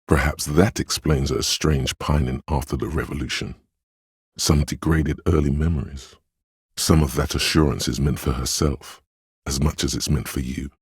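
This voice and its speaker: A man voicing a grave, compassionate inner voice of empathy, narrating a game in a measured, intimate, solemn way. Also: fairly even intonation, no echo, studio quality, exaggerated voice. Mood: grave, perceptive. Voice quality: very deep, velvety, husky. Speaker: game voice actor. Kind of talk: scripted second-person game narration.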